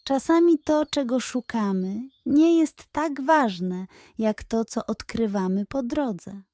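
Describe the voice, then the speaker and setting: A wise, reassuring pig detective from a children's bedtime story, played by a woman. He speaks slowly and persuasively, in a soft, mellow voice that sits fairly high for such a character. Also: expressive, no room sound, clean studio sound.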